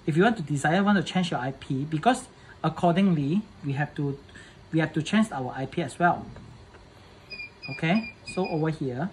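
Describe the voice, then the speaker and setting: Male tutorial presenter, slightly high voice, halting and repetitive delivery, casual, faint background noise. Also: animated, darkish tone, rough recording. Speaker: presenter of a product setup tutorial. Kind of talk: casual hands-on tutorial narration.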